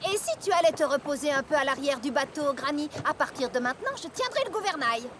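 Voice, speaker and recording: A woman's voice: sits high, expressive, fast talker, background noise. A woman voicing a sensible, headstrong young farm pony in a French cartoon dub, practical and firm.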